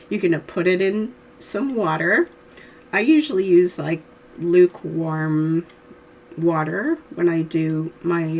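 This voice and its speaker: A woman with a hoarse voice from a cold, teaching knitting in a chatty, friendly how-to tutorial, with little laughs. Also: lowish voice, a little hiss or hum.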